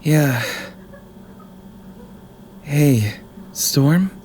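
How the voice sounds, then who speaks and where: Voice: mid-range voice, animated, drawn-out words, warm tone, a little hiss or hum. An actor playing an uneasy, bewildered executioner's assistant in an audio drama, diffident and muttering.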